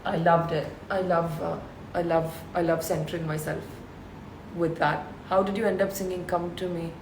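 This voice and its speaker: A woman in her thirties with a deep voice, chatting casually and reading fan questions aloud on a live stream from her home. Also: flat delivery, normal speed, roomy sound, background noise. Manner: chatty, reads comments aloud and answers them. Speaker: live stream host answering fans. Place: her house with bare concrete walls. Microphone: phone livestream.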